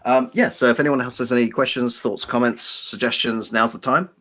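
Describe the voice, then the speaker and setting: A man's voice: mid-range voice, fairly even intonation, fairly quick, sharp tone. A man with an English accent, originally from Belgium with French as his first language, co-hosting a casual live stream in a rambling, dry, self-deprecating way. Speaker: co-host.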